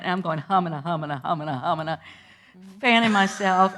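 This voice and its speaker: Lively older woman telling a story. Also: mid-range voice. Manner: lively, animated storyteller who acts out moments. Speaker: interview guest recalling a historic day. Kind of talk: storytelling to schoolchildren.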